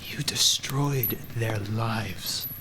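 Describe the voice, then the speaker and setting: A man's voice: lowish voice, drawn-out words, noisy background. A man playing a proud, bitter god of the underworld in a radio play, intense and defiant. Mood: bitter, accusing. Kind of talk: audio drama acting.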